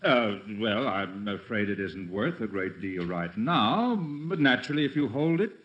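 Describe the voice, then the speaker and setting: A man's voice: on the low side, animated, measured pace, breathy edge, dull audio. An actor playing a stuffy, defensive middle-aged American lawyer and father, pompous and lecturing, in a 1940s radio sitcom.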